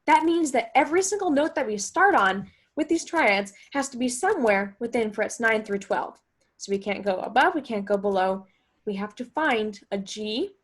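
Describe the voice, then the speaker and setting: A woman guitar teacher explaining step by step in a chatty, think-aloud way, as in an instructional music lesson. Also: mid-range voice.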